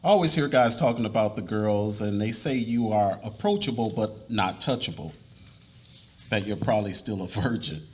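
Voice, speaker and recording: A man's voice: lowish voice, moderate pace, close to an everyday voice. A man reading the part of an earnest, polite young college student in a dramatic reading of a novel.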